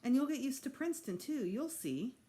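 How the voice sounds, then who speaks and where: A woman's voice: high voice, expressive, a little fast, dark tone, voice pushed up. A woman voicing a patient, reassuring mother in a storybook, coaxing and placating her child. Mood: upbeat, reassuring.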